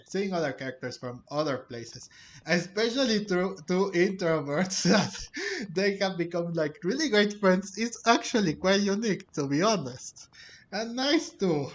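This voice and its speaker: A man hosting a game playthrough with casual, halting commentary that often circles back and repeats. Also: high voice, lively intonation, a bit bright. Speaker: gaming host. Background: music.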